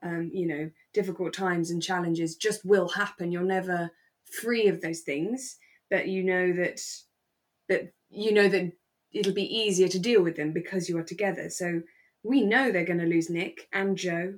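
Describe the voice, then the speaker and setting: Thoughtful woman with a lowish voice. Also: steady tone, normal speed. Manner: reflective, hedging, thinks aloud. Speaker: podcast co-host. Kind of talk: casual review chat about a radio drama.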